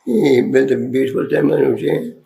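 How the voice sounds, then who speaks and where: Soft-voiced older man with a gravelly rasp. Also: medium pitch, big pitch swings. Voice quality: soft, worn voice. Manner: slow, halting, speaks in short phrases. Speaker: swami giving initiation. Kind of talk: ceremonial address with translation.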